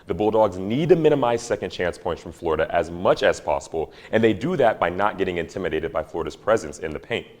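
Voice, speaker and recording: A young man giving scripted basketball analysis on a student news show, with a measured, emphatic delivery. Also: low-pitched, lively intonation. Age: young adult.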